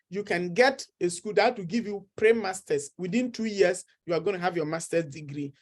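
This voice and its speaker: A middle-aged man with a Ghanaian accent, a study-abroad consultant earnestly encouraging viewers and repeating his key points. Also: sits high. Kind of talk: persuasive talk to camera.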